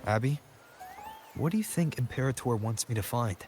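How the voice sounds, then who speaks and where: An actor playing a thoughtful young adventurer in a video game, speaking in a serious, measured, pensive way. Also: male voice, mid-range voice, lively intonation, over music, natural voice. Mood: pensive, concerned. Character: thoughtful, earnest young male champion adventurer.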